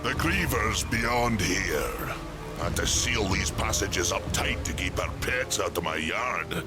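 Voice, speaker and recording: A gruff, ancient giant with a deep voice that swings widely. He is a cantankerous, territorial blacksmith who taunts in folksy dialect. Dramatic game music plays loudly behind him. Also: lively intonation, unhurried. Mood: wry grumbling. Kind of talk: video game cutscene acting.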